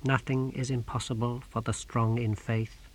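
A man playing a cold, pious inquisitor in an audiobook, speaking in a hushed, measured and menacingly courteous way. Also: medium pitch, little pitch movement, close to an everyday voice, poor audio. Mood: coldly pious. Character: cold, sinister pious male inquisitor of high rank.

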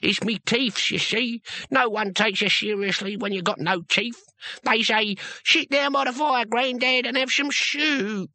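A man voicing an eighty-seven-year-old toothless barbarian hero who talks in a slow, moody, self-pitying drawl. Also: extremely high pitch, bright, forceful tone, no room sound, pitched above the performer's own voice, exaggerated voice. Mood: grumbling. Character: ancient toothless male barbarian hero, weary, grumbling.

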